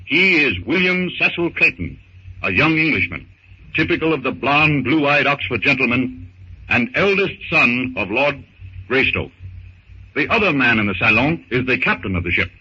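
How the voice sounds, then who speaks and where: Old time radio narrator guy, theatrical, piercing bright voice, dull hissy recording. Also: medium pitch, lively intonation. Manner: theatrical, deliberate, florid. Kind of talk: dramatic scripted narration, 1930s radio. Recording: muffled, noisy old 1930s radio recording.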